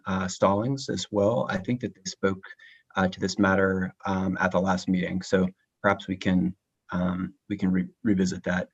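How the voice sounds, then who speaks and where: A man with a low, husky voice answers a question on a video call. He speaks in a measured way, with frequent uhs and ums. The line sounds muffled and dull. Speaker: meeting participant. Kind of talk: meeting discussion.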